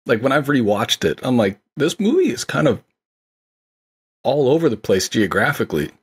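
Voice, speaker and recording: A man's voice: medium pitch, very animated, normal speed, husky. A man co-hosting a podcast, talking casually and enthusiastically about a film, with lots of fillers. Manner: enthusiastic, rambling with many fillers. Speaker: podcast co-host. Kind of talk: casual movie discussion.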